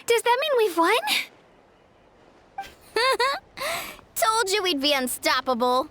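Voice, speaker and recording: A woman's voice: very high-pitched, sing-song, takes it slowly, a little hiss or hum, heavily acted. A woman voicing a spirited, upbeat young girl warrior eager for battle in an anime-style video game. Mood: triumphant. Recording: loud game music behind.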